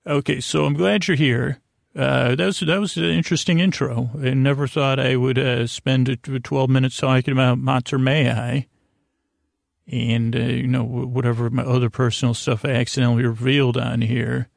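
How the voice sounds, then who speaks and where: A man hosting a bedtime podcast in a rambling monologue, halting and often trailing off mid-thought, with a gravelly voice. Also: medium pitch, little pitch movement, dry recording, studio quality. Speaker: podcast host. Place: podcast studio.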